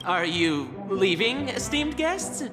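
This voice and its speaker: Lilting, polite hotel server. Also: female voice, mid-range voice, very animated, slow, big-room sound, over music. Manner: courteous, overly polite. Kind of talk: video game voice acting.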